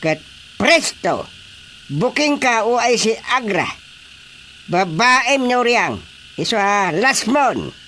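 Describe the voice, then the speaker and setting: A man's voice: sits high, sing-song, takes it slowly, pauses often, bright, forceful tone, slight background noise, heavily acted. A man with an Ilocano accent playing a bossy, indignant village chairman in a radio comedy drama. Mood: indignant, scolding.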